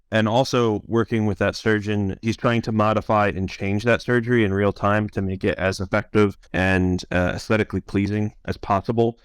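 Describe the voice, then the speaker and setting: Man, lower voice, smooth and clean tone, dry sound, measured conversational explainer, Q&A style. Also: no echo. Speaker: chief science officer answering donor questions. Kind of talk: informal explanatory Q&A on a conference call.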